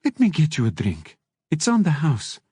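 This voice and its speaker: A man voicing a brisk female brothel madam from an audiobook, courteous but pointed and full of questions. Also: on the high side, huge pitch swings, a little mellow, slightly rough, no room sound, studio quality, pitched above the performer's own voice, heavily acted. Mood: curious, teasing. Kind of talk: audiobook character dialogue.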